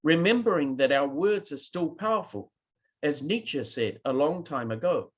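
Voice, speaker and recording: A man's voice: on the high side, animated, normal speed, muffled recording. A man with a New Zealand accent giving a keynote lecture to an online conference audience, now and then asking them to react. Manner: addresses a live online audience, asks for reactions. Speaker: keynote speaker.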